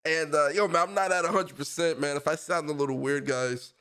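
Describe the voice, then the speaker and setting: A man's voice: fairly high pitch, steady tone, a little fast, a bit bright, clean tone. A young man with a stuffy, congested voice from a cold, co-hosting a casual gaming podcast in a laid-back, slangy way. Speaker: podcast co-host. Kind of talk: casual chat.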